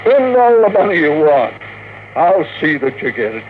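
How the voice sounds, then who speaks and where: An actor playing a stubborn, obsessed old tycoon in a 1950s radio drama, speaking with commanding, theatrical emphasis. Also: male voice, a little high, sing-song, moderate pace. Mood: brisk, businesslike. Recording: noisy, tinny 1950s radio recording.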